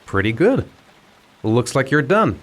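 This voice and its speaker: An actor playing a gentle, patient father looking after his young son in a video game cutscene, soft-spoken but gently firm. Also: mid-range voice, big pitch swings, unhurried.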